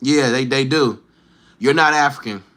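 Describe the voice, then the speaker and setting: A man's voice: medium pitch, normal speed, fairly bright tone. A man livestreaming, bantering with and teasing his chat in slangy street talk, firing challenging questions.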